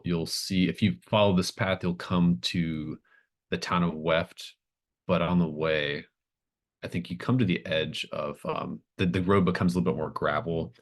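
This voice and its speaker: A man working as game master, improvising horror scene descriptions in a halting, thoughtful way. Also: deep voice.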